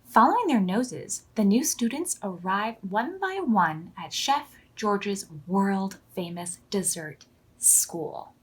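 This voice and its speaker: A woman's voice: mid-range voice, sing-song, takes it slowly, husky. A woman hosting a children's storytime, reading aloud expressively in simple phrases for toddlers. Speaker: children's storytime host at a library.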